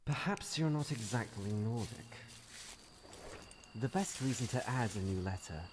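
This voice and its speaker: A man's voice: lowish voice, animated, measured pace, slight background noise. A man playing a witty, unflappable female archaeologist adventurer in a comic dub, full of wry quips and muttered asides. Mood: musing. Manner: wry, quipping, talks to self. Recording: music behind the voice. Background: clear music.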